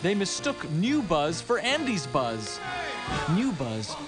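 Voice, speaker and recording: A man narrating a scripted children's read-along story in an expressive, animated storytelling manner. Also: fairly high pitch, takes it slowly. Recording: music behind the narration.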